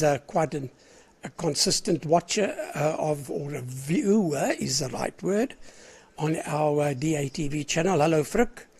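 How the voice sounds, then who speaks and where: Older South African man running a ham radio net, husky cold-roughened voice, a bit high, rambling and clearing his throat. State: hoarse with a cold. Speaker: net controller of an amateur radio net. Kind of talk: casual on-air net chat.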